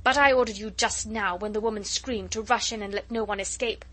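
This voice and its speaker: A cold, vicious male official who snarls and thunders his commands, as a woman voices him. Loud and menacing. It is a storybook reading. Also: mid-range voice, steady tone, brisk, lightly acted.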